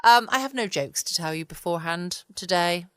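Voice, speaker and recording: A woman hosting a podcast in relaxed, rambling bedtime chat. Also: lowish voice, brisk, clear, resonant tone, dry recording, studio quality. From England. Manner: relaxed, chatty. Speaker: podcast host. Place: at home indoors, quiet.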